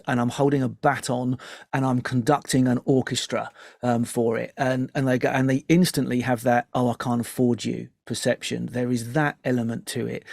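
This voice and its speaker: A man's voice: medium pitch, creaky, darkish tone, dry recording, clean studio sound. A man speaking conversationally as an interview guest. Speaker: media composer, interview guest.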